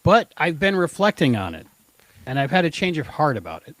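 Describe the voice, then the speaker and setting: A man with a slightly high voice co-hosts a casual fan discussion. His phrasing is informal and halting, and the sound is very dry, with no room echo. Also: big pitch swings, fairly bright tone. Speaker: podcast co-host.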